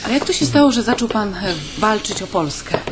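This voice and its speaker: A woman's voice: medium pitch, lively intonation, a little fast, noisy background, close to an everyday voice. An actress playing a polite, attentive interviewer in a radio play, asking her questions with curiosity. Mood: politely curious. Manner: polite, inquisitive. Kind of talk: radio drama acting, interviewing. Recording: studio radio play over music.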